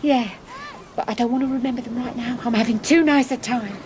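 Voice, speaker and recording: A woman's voice: fairly high pitch, brisk, a little mellow, lightly acted. An actress playing a wistful, romantic, emotional young woman in a radio drama. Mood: light, wistful. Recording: clearly noisy drama track.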